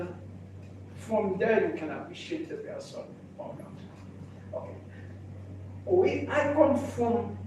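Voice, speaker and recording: An older man from Haiti, introducing himself in a halting speech with many restarts. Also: high voice. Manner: halting, repeats and restarts phrases. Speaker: real estate association president. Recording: echoey room, mic far off, background noise.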